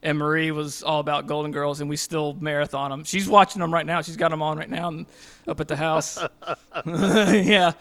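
A man's voice: fairly high pitch, fairly even intonation, normal speed, a bit bright. A man from North Carolina chatting on a podcast, enthusiastic and playful, rambling a little. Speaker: podcast guest. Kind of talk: casual chat.

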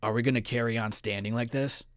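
A man voicing a calm, unafraid swordsman from a fantasy storybook in a cool, understated way. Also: medium pitch, quick pace, no echo, studio quality, lightly acted. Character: calm, laconic, unafraid male monster-hunting swordsman.

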